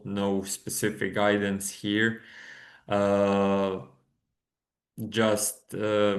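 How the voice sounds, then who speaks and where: A man from Croatia presents research over a video call in a slow, halting way, pausing often on filler sounds. His low voice stays flat and even, with little rise or fall. He speaks into a computer microphone. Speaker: conference presenter. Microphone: computer mic on a video call.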